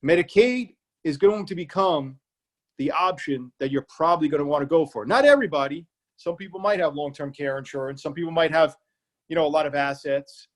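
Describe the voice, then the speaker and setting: A man with an Irish accent and a slightly high voice speaks as an elder law attorney at a seminar. He is plain-spoken and comes back to key points again and again to drive them home. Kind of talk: public library seminar talk. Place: remote online presentation.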